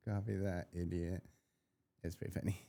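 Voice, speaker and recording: A man's voice: sits low, level tone, normal speed, creaky, dark tone. A man on a podcast in casual chat, telling a story in a laid-back, dry way.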